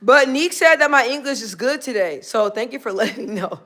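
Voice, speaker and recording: Woman, livestream host, slightly high voice, loose rambling chat with viewers. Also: animated, brisk, fairly bright tone. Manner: chatty and rambling, with frequent laughs; talks aside to people in the room. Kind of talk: casual livestream chat, reading viewer comments.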